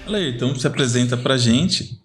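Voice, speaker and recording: Co-host with quick affirmations. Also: male voice, mid-range voice, faint music behind. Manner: short affirmations, brief questions. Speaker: podcast co-host. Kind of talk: casual podcast chat.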